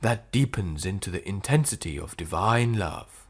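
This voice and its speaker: A man reading spiritual prose as an audiobook narrator, phrasing it deliberately with frequent pauses. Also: low voice, animated, breathy edge. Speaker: audiobook reader.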